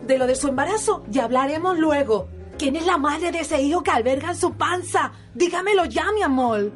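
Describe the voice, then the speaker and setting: Very high voiced telenovela sweetheart, naive, dramatic, music behind. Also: female voice, expressive, brisk. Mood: dramatic astonishment. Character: naive, easily frightened melodramatic soap heroine. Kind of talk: melodramatic radio soap parody.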